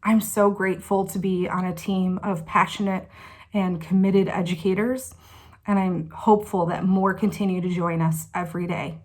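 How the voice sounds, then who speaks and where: A woman educator giving a slow, heartfelt prepared message. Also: fairly low pitch, little pitch movement, darkish tone.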